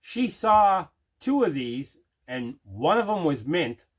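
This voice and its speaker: Enthusiastic higher-voiced man hosting an unboxing. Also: sing-song, slow pace. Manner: enthusiastic, repetitive emphasis. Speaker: host of a collecting unboxing video. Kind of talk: casual unboxing chat to camera.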